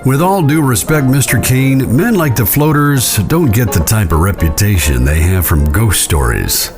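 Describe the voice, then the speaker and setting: Deferential, lively cowboy henchman played by a man. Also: on the low side, moderate pace, over music. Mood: uneasy, cautioning. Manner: folksy, hesitant, respectful. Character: deferential, uneasy cowboy henchman reporting to his boss. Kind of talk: western audio drama acting.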